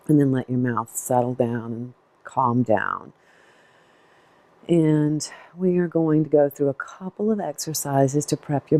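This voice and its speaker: A woman leading a guided meditation in a gentle, unscripted, reassuring way. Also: very deep voice.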